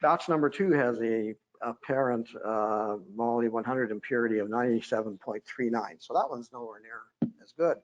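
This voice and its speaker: A man's voice: medium pitch, moderate pace, few pauses, muffled recording. A man giving a technical conference lecture over a video call, with a droning voice, often hesitating with fillers and restarts.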